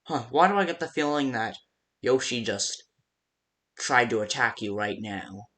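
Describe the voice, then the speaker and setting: A man casually improvising phone-call style guide messages for a fan-made game, rambling and haltingly restarting his sentences. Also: mid-range voice, lively intonation, a bit bright. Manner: rambling, halting, self-interrupting with stammered restarts.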